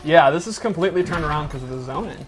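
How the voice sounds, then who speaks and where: A man's voice: fairly high pitch, lively intonation, quick pace. A man giving casual video game commentary while he plays along. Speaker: commentator and player. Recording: loud game music behind speech. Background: fighting game music and sounds.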